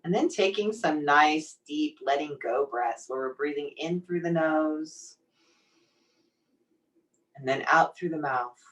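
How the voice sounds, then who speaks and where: A woman leading a guided meditation in a soothing, gently encouraging, unhurried manner. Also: deep voice. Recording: distant microphone pickup.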